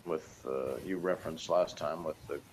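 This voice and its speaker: A man's voice: a bass voice, fairly even intonation, moderate pace, a little hiss or hum. A man co-reviewing a TV series in casual, unscripted conversation.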